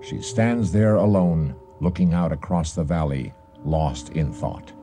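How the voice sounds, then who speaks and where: A man giving deliberate, suspenseful scripted narration for a radio drama. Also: sits low. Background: faint music.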